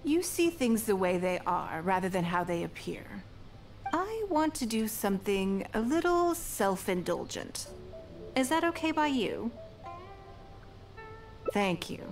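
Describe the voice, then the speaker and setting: Poised wealthy female leader, measured, refined, self-assured, game dialogue, faint music behind. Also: mid-range voice.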